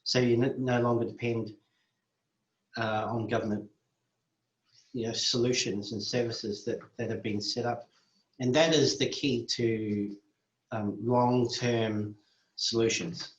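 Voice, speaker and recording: Nz guy talking community work, mid pitch, stops and restarts a lot, echoey kinda muffled audio. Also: fairly even intonation. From New Zealand. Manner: measured, with frequent restarts and fillers. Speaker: community worker. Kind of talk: interview monologue.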